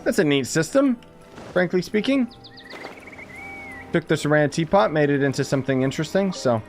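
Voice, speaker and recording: A man's voice: fairly high pitch, lively intonation, moderate pace. Casual man streaming, music behind. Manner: informal, slangy, exclaims and chuckles while playing. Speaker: gaming streamer. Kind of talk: casual live gameplay commentary with chat.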